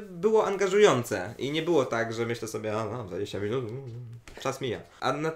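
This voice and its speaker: A man in his twenties giving his thoughts on films in a casual chat. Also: on the high side. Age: 20s. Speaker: guest film reviewer. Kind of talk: casual film discussion chat. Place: small room indoors, on a sofa. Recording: echoey living room.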